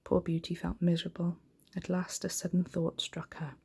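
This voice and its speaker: Female ASMR storyteller: low, mellow, gently creaky voice, soft and hushed bedtime narration. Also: monotone, moderate pace.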